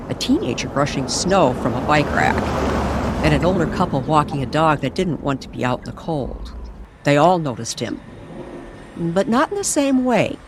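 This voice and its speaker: A woman narrating an eerie, nostalgic story as a storyteller, in a measured and dramatic manner. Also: low voice, background noise. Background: music under the voice.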